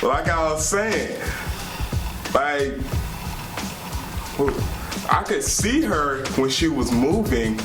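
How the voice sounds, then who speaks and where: A man's voice: on the high side, sing-song, very slow, pauses often, recorded from a distance. An American man co-hosting and casually telling his side of a story, emphatically repeating phrases. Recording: roomy echo under loud hip hop music.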